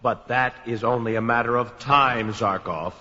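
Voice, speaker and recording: A man plays a cruel, smug sorcerer villain in a fantasy radio play. He speaks with imperious menace at medium pitch, muffled and ringing through a great hall. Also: steady tone, moderate pace, sharp tone, natural voice. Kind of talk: fantasy audio drama acting. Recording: muffled, long cavernous hall reverb.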